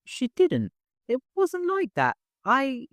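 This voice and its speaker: Man as a high, shaken, defiant young woman. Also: huge pitch swings, takes it slowly, fairly bright tone, no room sound, exaggerated voice. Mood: desperate protest. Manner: hysterical edge, protesting.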